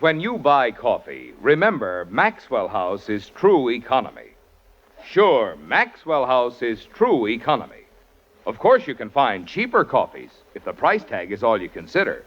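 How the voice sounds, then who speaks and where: Smooth 1940s radio announcer man. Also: on the high side, muffled recording. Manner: smooth, persuasive sales delivery. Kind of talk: 1940s radio commercial and narration. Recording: scratchy 1940s radio broadcast.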